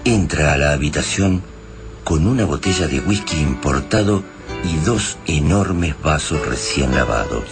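An Argentine actor playing a solemn literary narrator in a science fiction radio drama. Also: male voice, a bass voice, expressive, measured pace, music in the background, exaggerated voice.

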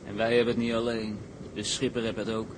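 A man's voice: lowish voice, little pitch movement, fast talker, noisy background, lightly acted. A man playing a bored, restless deckhand in a seafaring drama, talking in a blunt, colloquial way. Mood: reflective. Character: bored, restless young-hearted male tug deckhand. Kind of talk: dramatised seafaring story, scripted acting.

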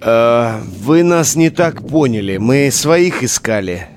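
A man's voice: mid-range voice, animated, slow pace, slight background noise. A man playing a cynical but gutsy relic hunter stranded in wartime, with a wry, colloquial, commanding way of talking. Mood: wary. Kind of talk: Russian audio drama acting.

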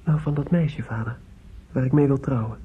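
An actor playing an earnest, respectful young son in a radio drama, speaking hesitantly and deferentially. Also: male voice, mid-range voice, moderate pace, close to an everyday voice. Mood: nervous, earnest. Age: young adult. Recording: muffled, noisy old radio play recording.

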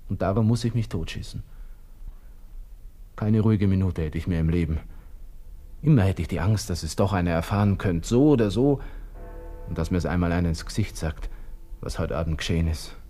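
A wound-up austrian lieutenant, deep husky voice, desperate muttering to himself, radio play. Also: male voice, mellow. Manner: agitated, brooding, breaking into whispers and outbursts. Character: proud, agitated Austrian army lieutenant in despair. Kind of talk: interior monologue in a radio play.

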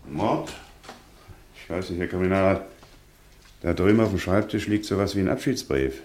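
An actor playing an observant, deferential police assistant reporting in a clipped, businesslike way in a radio crime play. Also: male voice, deep voice, normal speed, darkish tone, close to an everyday voice. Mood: businesslike, puzzled.

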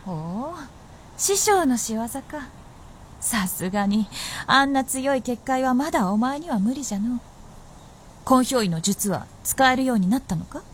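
A lively, imperious vixen spirit. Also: female voice, fairly high pitch, a little slow, slight background noise. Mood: teasing. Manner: archaic, imperious, teasing speech. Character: proud old-fashioned vixen spirit princess, vengeful and teasing. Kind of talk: radio drama acting.

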